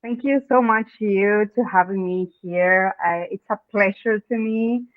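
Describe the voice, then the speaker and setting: A woman's voice: medium pitch, a little slow, dry recording. A woman from Argentina whose first language is Spanish, speaking English with an Argentine accent and explaining her work step by step as a podcast guest. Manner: earnest, explains step by step in non-native English with "okay". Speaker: guest, branding expert. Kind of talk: podcast interview. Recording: dry, phone-like remote call audio.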